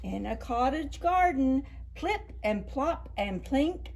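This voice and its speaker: Slow-paced woman reading to preschoolers. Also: sits high, vocal fry. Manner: expressive, deliberate, stretching and stressing key words. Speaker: children's librarian, storytime host. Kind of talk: read-aloud storytelling for preschoolers.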